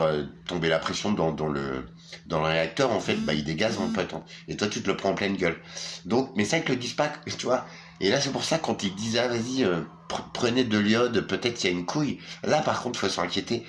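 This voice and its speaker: Tired, rambling deep-voiced Frenchman. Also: fairly bright tone, slight background noise. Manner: rambling, crude, restless, many fillers and self-interruptions. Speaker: vlogger. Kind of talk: casual ranting monologue to camera.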